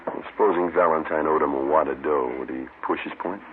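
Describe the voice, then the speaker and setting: An actor playing a terse police detective, clipped and matter-of-fact, in a mid-century radio drama. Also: male voice, very deep voice, fairly quick. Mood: probing. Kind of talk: 1950s radio police drama, questioning and narration. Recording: very noisy, muffled old radio broadcast.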